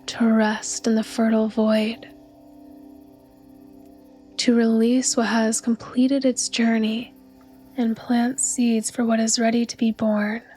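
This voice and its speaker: Slow, soft woman guiding a meditation. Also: fairly high pitch, little pitch movement, soft background music. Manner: soft, breathy, soothing, unhurried delivery. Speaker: meditation guide. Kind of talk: guided yoga nidra meditation narration.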